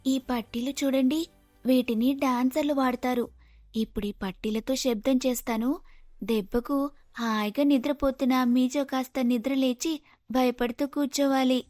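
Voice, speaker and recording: Woman acting plotting young daughter-in-law, high-pitched, insistent, dramatic, bold, cartoon storytelling. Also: steady tone, moderate pace, darkish tone, soft background music, natural voice. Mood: scheming, insistent. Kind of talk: cartoon moral story dialogue.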